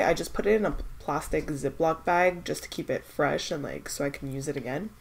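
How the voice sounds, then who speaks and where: A woman's voice: lowish voice, gravelly, a little mellow. A young woman vlogging about skincare samples, stuffed up from a cold, chatty and hesitant with lots of fillers as she thinks aloud. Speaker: beauty vlogger. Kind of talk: casual product-testing vlog.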